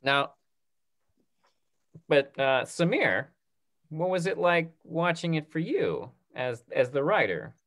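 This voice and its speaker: A man's voice: on the high side, sing-song, takes it slowly, pauses often, a bit bright. A man, sounding in his thirties, moderating a talk-back panel over a video call. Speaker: host, moderator.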